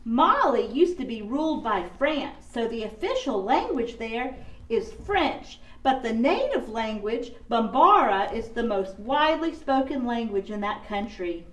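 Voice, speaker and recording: Casting: woman as curious puppet kid, swooping animated delivery, slow pace, children's show skit. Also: a little high. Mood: excited. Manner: animated, wide-eyed, slowly spoken. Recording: echoey room, far from the mic.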